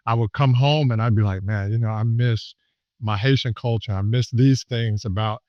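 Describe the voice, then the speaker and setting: A man from Miami hosting a podcast interview in a casual, halting way with many fillers and restarts. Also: mid-range voice, no echo, lo-fi sound. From Miami, USA. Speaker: podcast host.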